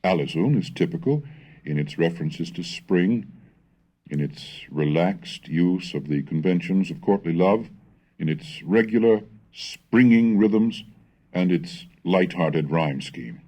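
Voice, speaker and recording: A man reading a scripted educational recording on language history, scholarly and measured, turning theatrical when he recites old verse. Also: low voice, expressive, warm tone. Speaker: reader and lecturer on English language history. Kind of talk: scripted educational narration with dramatic recitation of Middle English texts. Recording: 1970s educational cassette recording.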